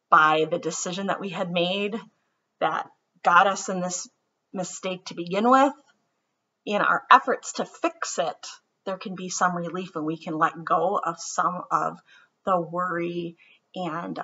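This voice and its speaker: Woman storybook reader, expressive and gentle, bright voice, dull lo-fi recording. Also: on the low side, breathy edge. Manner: expressive storytelling, gentle and reflective when talking afterward. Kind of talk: children's read-aloud.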